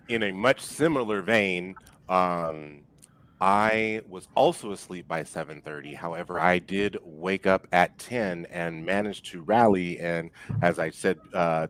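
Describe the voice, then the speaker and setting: Guy podcast guest, kinda low voice, wry stop-start casual talk. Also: fairly bright tone. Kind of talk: casual chat.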